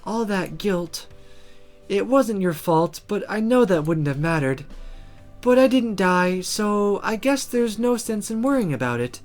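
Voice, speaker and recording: A woman acts out an injured young woman from a visual novel, tender and quietly reassuring. Her delivery is soft and intimate, halting between phrases yet gently teasing. Faint music plays behind her. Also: mid-range voice, expressive, lightly acted.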